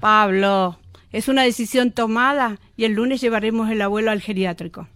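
A woman's voice: fairly high pitch, normal speed, fairly bright tone, no echo, studio quality, lightly acted. A woman playing a busy, determined mother and daughter in a radio drama, with an Argentine accent. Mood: firm, worried. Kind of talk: amateur student radio drama acting.

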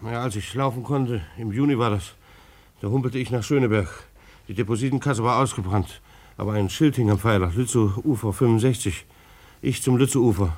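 A man's voice: mid-range voice, a little fast, a little mellow, natural voice. A man acting in a German radio drama as an agitated, self-justifying bailiff, stammering and repeating himself as he pleads. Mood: subdued, anxious.

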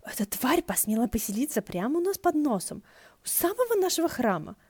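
Lady doing a breezy young guy god, lifted pitch, exclaims everything, chatty fantasy audiobook character. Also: big pitch swings, brisk, darkish tone, husky, dry recording, exaggerated voice. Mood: indignant. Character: breezy, airy, expressive young male wind god.

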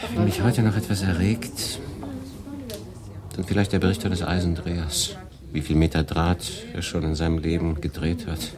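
Low-voiced restless refugee acted by a man, café murmur. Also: little pitch movement, normal speed, close to an everyday voice. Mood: weary, confiding. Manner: confiding, rambling, addressing a silent listener. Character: restless male German refugee stranded in wartime Marseille, world-weary. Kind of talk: radio play acting, conversational monologue. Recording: busy café murmur behind. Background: crowd in a café.

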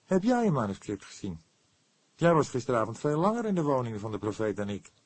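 A man's voice: medium pitch, very animated, fairly quick, breathy edge, no room sound, voice pushed up. A man voicing an eager young male police detective in an audiobook, hesitant at times, protesting and emphatic.

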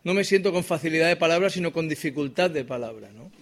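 A man's voice: on the high side, lively intonation, fast. A male singer-songwriter giving informal stage banter, projecting his voice to the back of the room. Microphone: speaking unamplified, mic only for radio pickup.

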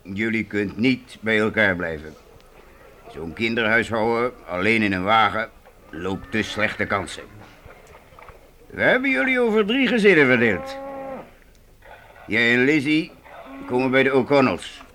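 Council leader, authoritative, measured, bright forceful tone, radio play, faint noise. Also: male voice, lowish voice, big pitch swings, heavily acted. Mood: firm, matter-of-fact. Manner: measured, authoritative, hesitant openings. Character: blunt, paternal wagon train council leader.

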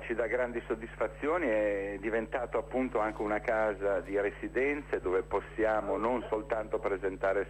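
Deep-voiced man on a noisy line. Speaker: interview guest, theatre director presenting a festival. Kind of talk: spontaneous informational interview talk.

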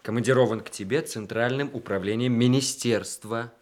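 An actor in a radio play, playing a wry, self-mocking army senior lieutenant who regrets lost love. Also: male voice, mid-range voice, moderate pace, lightly acted. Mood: teasing.

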